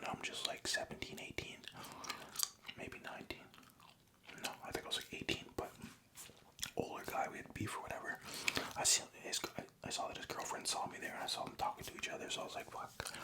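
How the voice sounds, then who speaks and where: A young man from Canada telling a story in a soft, unvoiced ASMR whisper, casual and profane, close to the mic. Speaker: vlogger.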